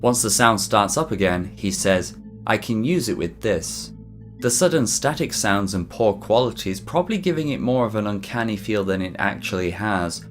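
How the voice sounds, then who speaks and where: Man, lowish pitch, scripted video narration, measured explanatory delivery, soft background music. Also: animated. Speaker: YouTube narrator. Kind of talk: scripted mystery documentary narration.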